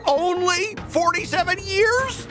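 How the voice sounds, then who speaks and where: A man's voice: extremely high pitch, slow, music in the background. A man voicing a pompous, irritable young merchant in a video game, blustering, sarcastic and easily flustered. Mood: indignant.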